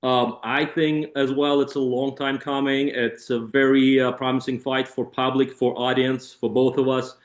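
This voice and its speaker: Male interpreter, hesitant phrasing, middle pitch, clearly echoey room sound. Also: level tone, moderate pace, few pauses. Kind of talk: consecutive interpreting on a press call. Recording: echoey room on conference call.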